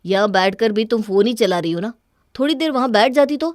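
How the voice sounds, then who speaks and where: A woman's voice: on the high side, lively intonation, brisk, dry recording, clean studio sound, natural voice. A woman voicing an earnest, exasperated young husband in a cartoon story, reasoning and reproachful. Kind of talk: Hindi cartoon story dialogue.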